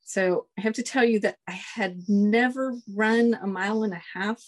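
A woman in her forties whose first language is Spanish, a colonel telling stories in a casual interview, relaxed and chuckling often. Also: medium pitch, moderate pace. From United States. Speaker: guest, Air Force colonel.

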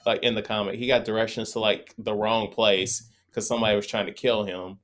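A man in his thirties on a podcast, reacting in an animated, disbelieving way during a casual chat. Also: on the low side, a little fast. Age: 30s. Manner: animated, incredulous reactions, laughs and swears.

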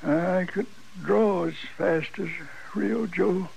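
An actor playing a dying old American gunfighter in a 1950s radio western, speaking weakly in halting, broken phrases, proud and desperate to be believed. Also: male voice, high voice, creaky, darkish tone, exaggerated voice, low-quality recording. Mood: pleading, insistent. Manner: halting, broken, laboured speech. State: weak and dying. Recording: old radio recording with faint noise.

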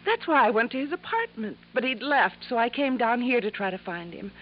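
A woman's voice: a little high, expressive, a little fast, close to an everyday voice. An actress playing an earnest, devoted young American niece in a 1950s radio drama, pleading emotionally for her uncle. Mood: tearful, urgent. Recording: dull, noisy 1950s radio recording.

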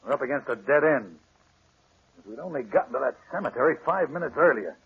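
A man's voice: mid-range voice, lively intonation, fast talker, breathy edge. An actor playing a blunt, impatient, exasperated American policeman in a 1940s radio mystery, slangy and agitated. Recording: muffled, band-limited 1940s radio recording.

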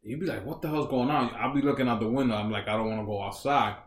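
Male podcast co-host, rambling casual talker, medium pitch, roomy recording. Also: quick pace. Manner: rambling, lots of "like" fillers. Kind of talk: casual chat.

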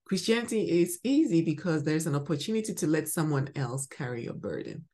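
A woman from Ghana giving a spoken devotional teaching as podcast host, emphatic and swinging from bright exclamations to soft murmurs. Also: low voice, wildly swooping pitch, brisk.

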